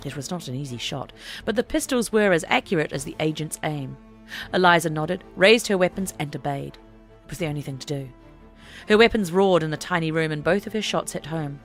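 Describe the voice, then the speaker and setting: A woman's voice: low-pitched, animated, a little fast, soft background music. A woman narrating an audiobook story in a dramatic, scripted reading style.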